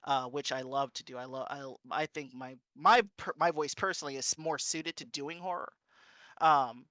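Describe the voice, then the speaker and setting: A man giving an informal panel talk and answering questions in a conversational, halting, self-correcting way. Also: mid-range voice, fairly even intonation, sharp tone, no echo, clean studio sound. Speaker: panel speaker and streamer.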